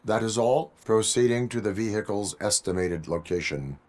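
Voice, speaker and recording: A man playing a stoic cyborg police officer in a video game, speaking in clipped, formal, robotic deadpan. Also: fairly low pitch, normal speed, natural voice. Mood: stern, flat.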